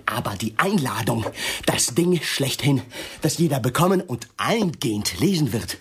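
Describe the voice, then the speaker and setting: A man's voice: mid-range voice, very animated, moderate pace, fairly bright tone, a little hiss or hum. An actor voicing a self-pitying, imperious middle-aged mother in a radio drama, with indignant, querulous and grandiose delivery. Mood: aggrieved, scolding. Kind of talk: radio drama, quoted dialogue.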